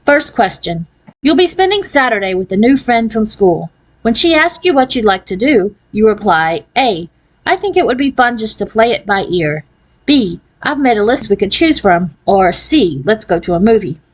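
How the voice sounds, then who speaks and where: Woman voicing a brisk, sardonic ten-year-old girl. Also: mid-range voice, big pitch swings, rough recording. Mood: brisk quizmaster. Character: Kate, brisk, sardonic fifth-grade girl, movie buff.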